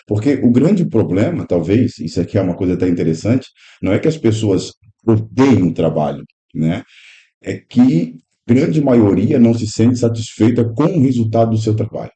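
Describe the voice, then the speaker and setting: A man in his thirties with a very low, dark, mellow voice talks through biblical ideas at a relaxed, thoughtful pace. He speaks as a guest pastor and teacher in a casual podcast, piling up phrases and checking in with "né?". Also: normal speed. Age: 30s. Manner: reflective, explanatory, speaks in long run-on phrases with frequent "né?". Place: improvised room at a pastors' convention.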